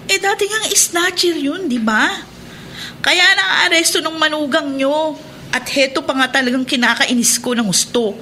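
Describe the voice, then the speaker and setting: A woman's voice: high voice, normal speed, bright, forceful tone, a little hiss or hum. A woman playing a bitter, suspicious aunt in a Filipino radio drama, with a scolding, exasperated manner. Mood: resentful, irritated. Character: bitter, suspicious aunt and resentful wife, short-tempered.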